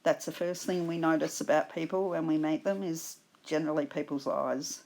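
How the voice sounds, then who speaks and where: A woman with an Australian accent, hoarse from a cold, patiently explaining her painting steps in a tutorial voice-over. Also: deep voice, steady tone, darkish tone. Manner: slow, patient explaining with fillers. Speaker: art instructor.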